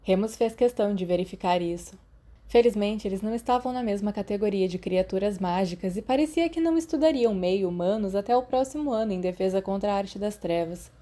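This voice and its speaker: Mellow Brazilian woman reading fiction. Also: mid-range voice, fairly quick. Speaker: audiobook narrator. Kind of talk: scripted fiction narration.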